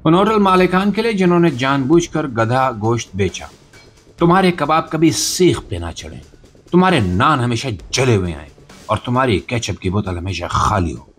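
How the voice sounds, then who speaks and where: Animated Pakistani man doing satirical commentary. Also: medium pitch, moderate pace. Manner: sarcastic, theatrical, rhetorical delivery. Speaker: podcast host. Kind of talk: satirical news commentary monologue.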